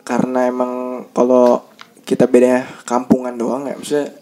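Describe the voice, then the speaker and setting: A young man in casual group talk, animated and quick to laugh. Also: medium pitch, a little hiss or hum.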